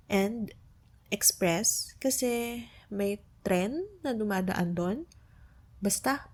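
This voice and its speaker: Slow, tender Filipino girlfriend played by a woman. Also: mid-range voice, darkish tone. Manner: teasing, tender, patient, explains words slowly. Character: affectionate, playful Filipino girlfriend tutoring her partner. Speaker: ASMR roleplay performer. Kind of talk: soft ASMR roleplay, Tagalog lesson mixed with English.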